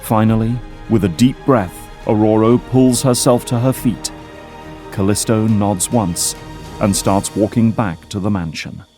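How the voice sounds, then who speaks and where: A man narrating an audio drama from a script in measured storytelling prose. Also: on the low side, steady tone, dark tone, faint music behind.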